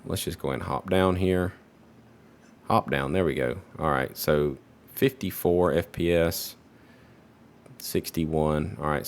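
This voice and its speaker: Man, very deep voice, laid-back rambling benchmark commentator, slightly off from recent illness. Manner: relaxed, rambling, many fillers. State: recovering from a virus, voice slightly off. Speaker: PC hardware benchmark creator. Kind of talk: casual gameplay benchmark commentary.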